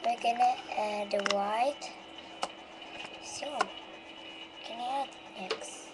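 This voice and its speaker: A woman hosting a homemade cooking show, narrating each step slowly and haltingly. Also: a little high, fairly even intonation. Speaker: home cooking show host. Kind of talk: casual cooking demonstration. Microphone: webcam microphone. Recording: noisy webcam recording.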